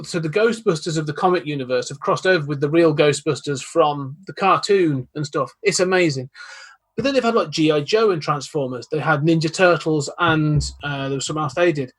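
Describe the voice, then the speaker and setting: A young man joins a casual podcast as a guest and talks in a fairly high voice with a husky, slightly breathy edge. He rambles eagerly and often restarts his sentences as ideas pile up. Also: fairly quick, a bit bright.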